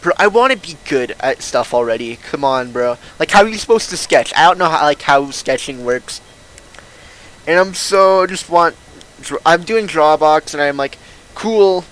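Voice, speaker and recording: A man's voice: fairly high pitch, animated, moderate pace, fairly bright tone, poor audio. A young man with a lisp, chatting casually and rambling in slangy, self-mocking style as he streams a game. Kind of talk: casual livestream chat while gaming. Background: video game music.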